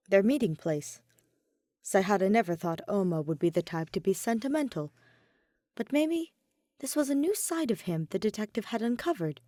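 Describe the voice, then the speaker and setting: A woman's voice: mid-range voice, a little mellow, dry recording, studio quality. A woman reading a scripted piece of fan fiction as its narrator. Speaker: podfic narrator.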